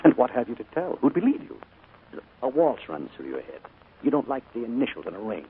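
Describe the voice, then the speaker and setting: A man's voice: mid-range voice, huge pitch swings, a little fast, slight background noise. A man playing a charming but sinister middle-aged uncle in a 1940s radio drama, his coaxing voice carrying a menacing undertone. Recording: narrow 1940s radio broadcast.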